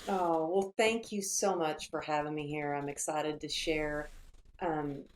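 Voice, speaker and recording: Low-voiced texan woman telling her story, earnest, pauses to think. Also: steady tone, soft background music. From Texas. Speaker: guest. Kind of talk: storytelling interview.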